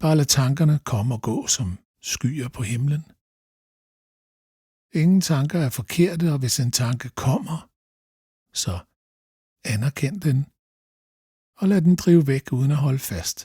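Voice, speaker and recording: A man guides a meditation slowly and calmly, giving gentle instructions with long pauses. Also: mid-range voice, slightly rough, dry recording, studio quality.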